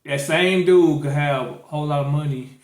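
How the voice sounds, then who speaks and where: A man's voice: on the high side, normal speed, reverberant. A man hosting a podcast, talking loosely and rambling, with many restarts and fillers. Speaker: podcast host. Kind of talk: casual chat.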